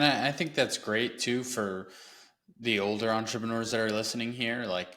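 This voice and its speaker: A man hosting a podcast, asking relaxed, encouraging questions. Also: on the low side. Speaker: podcast host. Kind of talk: podcast interview.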